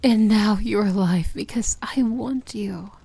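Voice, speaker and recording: Woman doing a seductive evil princess for fantasy asmr, breathy whispers, really close dry sound, tender but bossy. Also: medium pitch, no echo. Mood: teasing, commanding. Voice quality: soft, breathy, often whispered. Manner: slow, intimate, gently commanding. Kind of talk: ASMR romantic fantasy roleplay.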